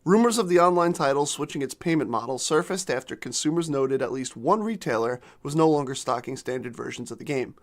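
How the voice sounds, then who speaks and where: A man co-hosting a casual gaming podcast, reading news articles aloud while losing his voice and clearing his throat. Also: medium pitch, fairly quick, hardly any pauses. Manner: clears throat, reads quotes in runs. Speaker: podcast co-host.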